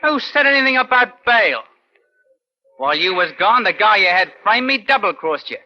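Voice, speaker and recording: A man's voice: high voice, big pitch swings, normal speed. A man playing a brash, taunting teenage gang leader in an old radio crime drama. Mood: gloating. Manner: taunting, insolent. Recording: muffled old radio recording, music behind.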